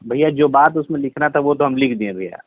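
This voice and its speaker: A Bihari man with a fairly high voice explains himself on a dull, tinny phone recording. He is deferential and pleading, apologising and respectfully addressing the other person as an elder brother. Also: little pitch movement, fairly quick, no echo. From Bihar, India. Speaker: caller being confronted over a social media comment.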